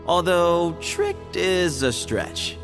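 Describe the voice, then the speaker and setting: A man's voice: high-pitched, wildly swooping pitch, drawn-out words, over music, exaggerated voice. An actor playing a young man of the desert in a video game, breezy, teasing and evasive. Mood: candid. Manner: playful, nonchalant, coy.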